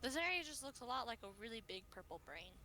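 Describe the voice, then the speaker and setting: A woman with a very bright, fairly high voice chats casually on a gaming stream. She sounds playful and quirky. Faint noise runs behind her. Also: sing-song, fast.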